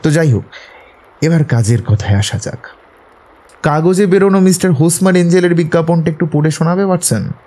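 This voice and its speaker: A man's voice: a little high, a little fast, mellow, natural voice. A man playing a self-assured, analytical detective in an audio drama, explaining things in an assured, instructive way. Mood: confident, explanatory. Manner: assured, didactic, explaining deductions step by step. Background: prominent background music.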